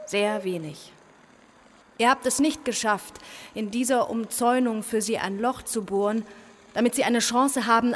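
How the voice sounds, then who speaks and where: A strict female official of heaven in a radio play. Her declamatory, slightly high voice carries long hall reverb over faint noise. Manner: formal, declamatory. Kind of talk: German radio play acting.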